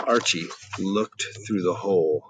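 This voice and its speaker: A man's voice: sits low, measured pace, fairly bright tone, slight background noise. A middle-aged man reading a children's story aloud expressively, with dramatic questioning asides to young listeners. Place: outdoors in a garden.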